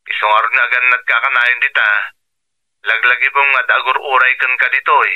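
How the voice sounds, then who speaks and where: A male actor plays an affectionate, concerned husband with a Filipino accent. He has a mid-pitched voice in radio drama style. The sound is dull and lo-fi, as on a phone call. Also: little pitch movement, brisk, close to an everyday voice. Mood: caring. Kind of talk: Ilocano radio drama acting.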